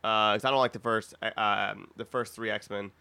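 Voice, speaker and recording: Bright-voiced man chatting, rambling and halting. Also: on the low side, steady tone, creaky, clean tone. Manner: halting, rambling, self-interrupting. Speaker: podcast panelist. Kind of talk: casual group chat about films.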